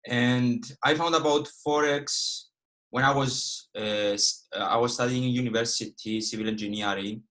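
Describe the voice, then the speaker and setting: A man's voice: medium pitch, fairly even intonation, normal speed, fairly bright tone. Young Greek Cypriot man, casual and direct. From Cyprus. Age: 20s. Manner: casual and direct, peppers speech with "okay" and "all right". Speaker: guest trading educator. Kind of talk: live online trading lesson.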